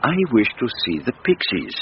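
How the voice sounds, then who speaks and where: Courteous foreign detective, musing, precise, swooping intonation, radio mystery, muffled noisy recording. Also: male voice, lowish voice, huge pitch swings. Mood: courteous, persuasive. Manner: formal, deliberate phrasing with French forms of address. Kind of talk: radio drama acting.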